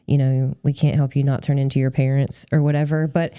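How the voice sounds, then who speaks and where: A woman's voice: very deep voice, flat delivery, brisk, dark tone, smooth, dry recording, studio quality. A woman in her forties talking casually as a podcast host, in a chatty, rambling and informal way. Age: 40s. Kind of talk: casual conversational interview.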